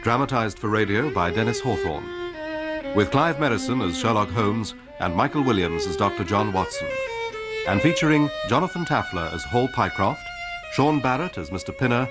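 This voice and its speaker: Low-voiced male announcer over loud violin. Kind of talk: formal scripted radio drama announcement. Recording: loud violin music behind the voice. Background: violin music.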